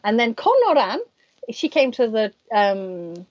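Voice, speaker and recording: A woman's voice: fairly high pitch, sing-song, measured pace. A woman, a professional mahjong player co-hosting a casual stream, chatty and self-deprecating. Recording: dull lo-fi stream audio.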